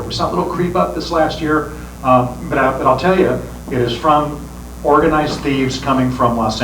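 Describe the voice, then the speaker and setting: Brief: man, sheriff pitching re-election to a crowd, earnest, restarts phrases, heard from across an echoey room with background noise. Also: distant mic. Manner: earnest, repeats words when starting phrases. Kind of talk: campaign speech to a meeting. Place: meeting room with an audience.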